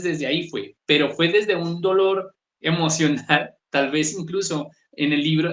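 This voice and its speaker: A man's voice: a bit bright, reverberant. A man from southern Colombia with a Pasto accent, a guest author talking in a thoughtful, reflective way. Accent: Colombian, Pasto region. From southern Colombia, Nariño. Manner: thoughtful, varied pitch, asks "¿sí?" to check in. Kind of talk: reflective conversational interview.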